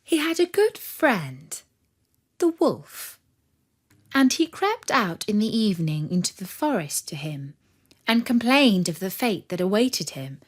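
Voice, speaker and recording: A woman narrating a fairy tale for children in an expressive, measured storytelling manner. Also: medium pitch.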